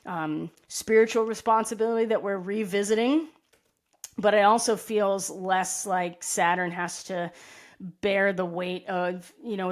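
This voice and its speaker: A woman with a raspy voice giving a casual astrology forecast, rambling and correcting herself with many asides and tag questions. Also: mid-range voice. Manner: rambling, self-correcting, frequent "right?" and "you know". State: tired voice.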